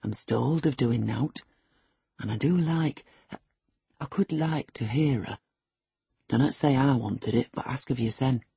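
A woman voicing a shy, rough young country man, halting and plain-spoken, in an audiobook novel. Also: mid-range voice, very animated, mellow, no room sound, voice pushed up. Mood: bashful, hushed. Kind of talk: classic novel character dialogue in audiobook reading.

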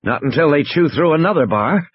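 A man's voice: a little high, animated, fairly quick, husky, no room sound, highs cut off, voice pushed up. A man voicing a brave, determined young woman, firm and resolute, in dramatized audiobook dialogue.

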